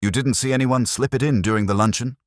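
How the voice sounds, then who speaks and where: A man's voice: medium pitch, steady tone, fast talker, no echo, studio quality, pitched above the performer's own voice, close to an everyday voice. A man voicing an eager young police sergeant who asks blunt questions.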